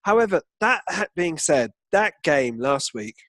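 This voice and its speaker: A man's voice: fairly high pitch, sing-song, measured pace, a bit bright, no echo. A man co-hosting a football podcast, chatting casually with irreverent, sweary, joking banter.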